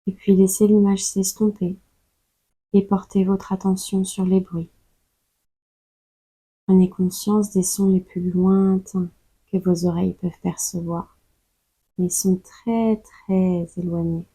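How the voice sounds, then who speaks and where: A woman leading a guided yoga nidra meditation in a calm, soothing, unhurried way, leaving long pauses between instructions. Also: medium pitch, slightly rough, no echo, poor audio. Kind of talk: slow guided meditation narration.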